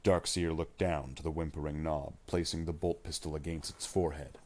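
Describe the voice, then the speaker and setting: A man reading scripted dramatic fiction as a narrator, with measured and deliberate phrasing. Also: extremely low pitch, steady tone, a little mellow.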